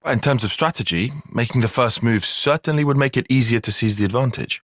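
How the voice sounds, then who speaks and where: A man's voice: mid-range voice, fairly quick, dry recording, clean studio sound, natural voice. A man with a British accent voicing an earnest, cautious young soldier-strategist in a video game, speaking in a precise, formal, articulate way. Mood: measured, formal. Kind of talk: video game cutscene voice acting.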